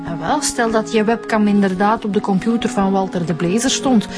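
A woman with a Flemish accent playing a brisk, sharp-witted detective in a radio drama. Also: mid-range voice, natural voice. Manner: brisk, teasing, practical. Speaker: police detective. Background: music.

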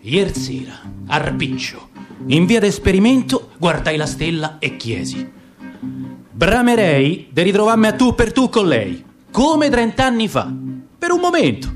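An actor as a nostalgic middle-aged man with a Roman accent recites dialect verse like a storyteller. His voice sits fairly high and swings widely in pitch, with guitar playing clearly behind him. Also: measured pace. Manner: expressive, storytelling. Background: acoustic guitar music.

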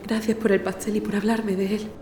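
A woman's voice: mid-range voice, flat delivery, fairly quick, mellow, echoey room, a little hiss or hum, lightly acted. A woman playing a grieving, timid, polite young woman in a radio drama. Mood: downcast.

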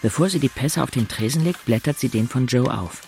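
A man's voice: mid-range voice, a little fast, gravelly, darkish tone, slight background noise, lightly acted. A man acting as a brooding, wry teenage boy who narrates his inner thoughts tersely in a radio drama. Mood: wry, confiding. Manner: introspective, terse. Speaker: first-person narrator.

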